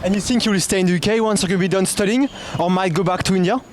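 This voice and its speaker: A French man hosting a casual street interview outdoors, upbeat and quick, often answering with "awesome" and "wow". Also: high voice, noisy background. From France. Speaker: street interviewer, channel host.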